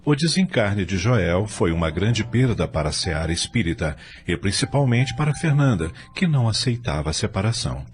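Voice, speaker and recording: A man with a Brazilian accent narrating an audio drama in a solemn, deliberate and dramatic scripted style. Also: lowish voice, sing-song, faint music behind.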